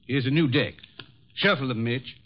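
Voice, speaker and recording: An actor playing a cold, terse, scheming business partner in an old radio drama. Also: male voice, mid-range voice, normal speed, background noise, lightly acted. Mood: cool, businesslike. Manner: terse, controlling. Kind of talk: 1950s radio drama acting. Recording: muffled old radio broadcast recording.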